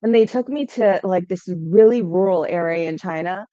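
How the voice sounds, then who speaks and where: A young adult woman from Hawaii speaks as a guest founder in a podcast interview. Her voice sits low and mellow, and she talks conversationally, often adding "um" and "right?". The recording is dry and a little dull. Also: normal speed, no room sound. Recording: dull lo-fi sound.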